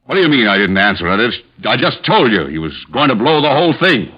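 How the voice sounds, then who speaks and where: An actor playing a desperate, jealous killer justifying himself in a 1950s radio drama, on a muffled old recording. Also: male voice, mid-range voice, big pitch swings, fast talker. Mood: anguished.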